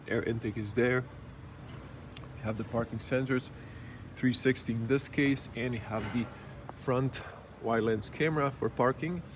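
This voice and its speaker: A man with a slightly rough early-morning voice, giving a casual, unscripted walkaround review in halting, item-by-item phrases. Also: mid-range voice, slight background noise. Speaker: car reviewer. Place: car show exhibition space.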